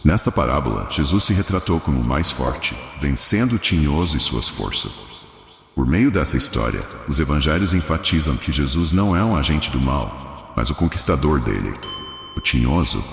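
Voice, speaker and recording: Very deep gravelly Brazilian male narrator. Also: big pitch swings, moderate pace. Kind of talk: scripted religious story narration. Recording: echoey voice with long reverb.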